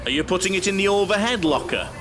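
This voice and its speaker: High-pitched, fussy, chatty passenger. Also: male voice, expressive, fast talker, noisy background. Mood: chatty, playful. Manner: flustered, apologetic, prattling. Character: chatty, fussy, jokey male coach passenger. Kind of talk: audio play comedy acting.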